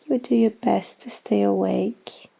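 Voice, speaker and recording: A woman guides a meditation with slow, soothing instructions. Her voice is mellow and relaxed, sitting at a medium pitch with heavy vocal fry. She speaks softly and leaves generous pauses between short phrases. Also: rough recording. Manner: soothing, gentle, unhurried instruction with long pauses. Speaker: yoga nidra guide.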